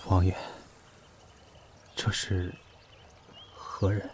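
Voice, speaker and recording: A man's voice: sits low, level tone, very slow, darkish tone, slightly rough, exaggerated voice. A man playing a cold, resentful bodyguard to an ancient prince in a period audio drama, speaking formally and tersely. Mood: dutiful.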